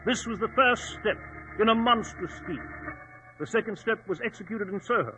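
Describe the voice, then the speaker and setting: An actor playing an earnest, protective English gentleman who tells his tale dramatically in a 1940s radio drama. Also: sits high, normal speed, slight background noise. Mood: grave storytelling. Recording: dull old radio recording.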